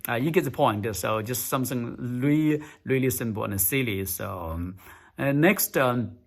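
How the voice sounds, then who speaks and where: A man coaching viewers on speaking English in a casual tutorial, talking haltingly with many fillers and words repeated for emphasis. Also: mid-range voice, creaky.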